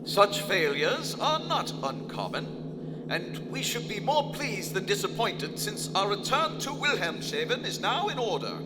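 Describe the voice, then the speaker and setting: Guy doing sub captain speech to the men, formal, high pitched, radio play, loud music behind, echoey. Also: sing-song. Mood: resolute. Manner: formal, deliberate. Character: stoic submarine captain addressing his crew. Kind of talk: live radio theater announcement.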